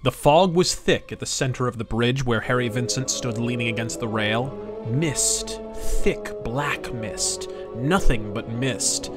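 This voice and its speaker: Man putting on a low, brooding pulp storyteller. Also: music in the background, lightly acted. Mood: ominous. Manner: slow, ominous, deliberate. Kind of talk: dramatic audiobook-like reading of pulp fiction.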